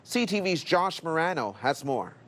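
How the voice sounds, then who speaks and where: Higher-voiced male news anchor. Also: sing-song, normal speed, background noise. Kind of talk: scripted news presenting.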